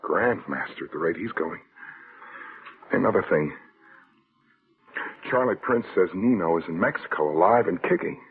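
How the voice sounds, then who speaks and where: A man's voice: deep voice, animated, normal speed, pauses often, slightly rough, full character voice. An actor playing a hard-boiled, haunted man narrating and living a murder plot in a terse, sardonic 1940s radio thriller style. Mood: sardonic, pressing. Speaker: actor narrating as lead character. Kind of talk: 1940s radio thriller acting, first-person narration and dialogue. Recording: tinny old radio recording, loud music behind.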